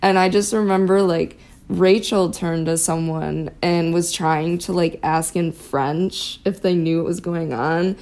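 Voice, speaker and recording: Young American woman, slightly low pitch, chatty, casual first-person recollection. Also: steady tone, a little slow, darkish tone. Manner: chatty, peppered with "like".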